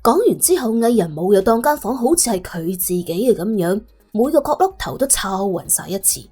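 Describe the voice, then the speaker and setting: A woman's voice: medium pitch, sing-song, brisk, warm tone, slightly rough, faint music behind. A woman with a Cantonese accent narrating a story in a colloquial, dramatic storytelling manner. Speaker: audiobook narrator.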